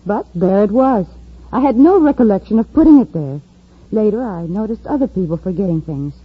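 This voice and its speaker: A woman's voice: medium pitch, expressive, a little fast, slightly rough. An actress playing an earnest female ally of a space patrol in an old-time radio serial, with dramatic delivery. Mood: thoughtful. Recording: tinny band-limited old radio recording.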